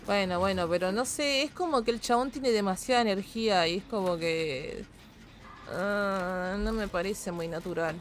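A woman's voice: medium pitch, moderate pace, slight background noise. A woman with an Argentine Spanish accent who streams games, chatting casually in a blunt, slangy, foul-mouthed way. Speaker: game streamer. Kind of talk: casual chat while gaming.

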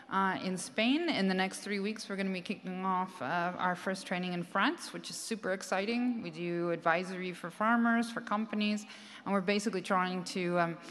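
A woman's voice: lowish voice, a bit bright. American woman on a reverberant conference stage. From United States. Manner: earnest, many fillers and restarts. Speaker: panel speaker, farmer trainer. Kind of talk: conversational panel talk. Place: large conference stage with audience. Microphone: handheld microphone. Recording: long hall reverb.

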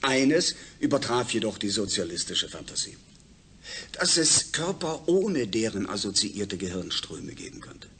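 An actor playing an assured space project chief in a radio drama, explaining things formally like a lecturer. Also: male voice, fairly low pitch, very animated, measured pace, fairly bright tone, breathy edge, exaggerated voice. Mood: assured, explanatory. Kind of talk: German science fiction radio drama acting.

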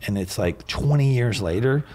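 A middle-aged man hosting a podcast in a studio, talking into a boom mic as a blunt, animated storyteller in casual chat. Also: moderate pace. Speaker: podcast host. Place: podcast studio with wooden bar shelves. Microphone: boom mic and headphones.

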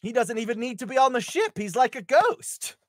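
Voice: mid-range voice, very animated, fairly quick, dry recording, clean studio sound. A performer reading a hero's lines in a casual comedy table read on a group video call, playful and given to ad-libs. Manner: playful, breaking into laughter and ad-libs.

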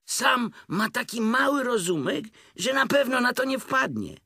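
A man voicing a boisterous, scatterbrained tiger in a children's story, exclaiming and roaring with excitement. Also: extremely high pitch, unhurried, creaky, slightly rough, voice pushed up, full character voice. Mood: excited, urging. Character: boisterous, scatterbrained young tiger, bouncy and boastful.

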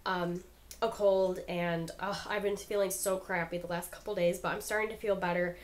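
A woman's voice: fairly low pitch, flat delivery, normal speed. A young woman vlogging casually in her bedroom, a little stuffed up from a cold, chatty with lots of ums. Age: young adult. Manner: chatty, many ums, upbeat. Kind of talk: casual chat. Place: bedroom indoors. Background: fan humming.

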